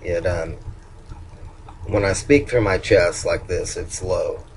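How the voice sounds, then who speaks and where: Older man with a very low voice. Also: slight background noise. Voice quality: low voice, nasal at times. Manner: halting, with frequent ums and restarts. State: hoarse. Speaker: vlogger. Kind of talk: casual personal video update.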